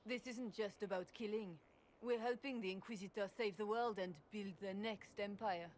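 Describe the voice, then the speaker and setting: A woman's voice: medium pitch, steady tone, normal speed, darkish tone, a little hiss or hum, close to an everyday voice. A woman playing an earnest, devout former guard captain in a video game, speaking plainly. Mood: earnest conviction. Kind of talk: scripted video game dialogue.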